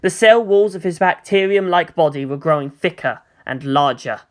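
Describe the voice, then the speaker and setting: A story narrator reading dramatic action fiction in a measured, expressive way. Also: mid-range voice.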